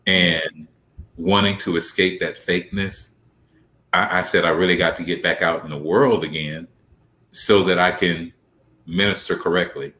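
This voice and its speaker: A man's voice: low voice, fairly even intonation, normal speed, pushed and bright. A man giving an earnest, confessional personal testimony as a guest in a group interview. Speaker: stage play cast or crew guest. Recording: roomy echo.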